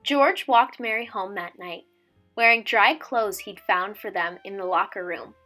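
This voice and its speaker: A woman's voice: mid-range voice, measured pace, pushed and bright, faint music behind. A woman in her twenties speaking as a teacher doing a storybook read-aloud for children. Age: 20s. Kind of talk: casual intro chat and storybook read-aloud.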